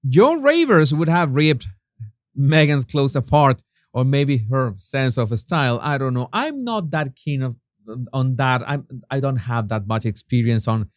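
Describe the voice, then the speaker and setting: A man's voice: mid-range voice, no room sound, studio quality. A Venezuelan man who speaks English with a Spanish accent, chatting casually with his viewers and restarting his sentences often. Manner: chatty, many restarts and fillers, laughs at own jokes. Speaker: YouTube host. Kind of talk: casual chat reading viewer comments.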